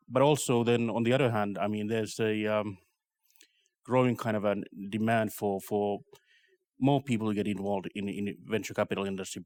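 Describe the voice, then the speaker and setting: A man with a Finnish accent answering interview questions about business in a measured, tentative way. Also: on the low side, no echo. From Finland. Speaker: investment company representative, interviewee.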